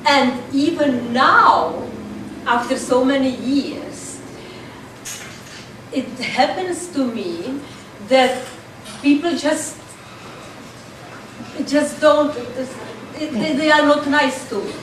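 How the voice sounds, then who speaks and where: An older Czech-accented woman delivers dry stand-up stories in an unhurried, deliberate way. Her high voice rings through a very echoey room, caught from a distance. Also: expressive, noisy background. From Czech Republic. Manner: deliberate, dry storytelling about language mix-ups. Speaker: stand-up comedian. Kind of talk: stand-up routine. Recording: echoey room, mic from afar.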